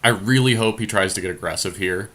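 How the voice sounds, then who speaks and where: Bright-voiced, low-pitched man talking strategy. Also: fast. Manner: thinking aloud, deliberating over options. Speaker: podcast host playing a card game. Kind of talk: casual strategy commentary while playing a card game.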